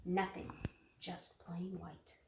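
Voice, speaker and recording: A woman reading a children's picture book aloud in an expressive, dramatic way, putting questions to young listeners. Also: on the low side, slow, vocal fry, distant mic, a little hiss or hum. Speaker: storybook reader. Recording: roomy echo, off the mic.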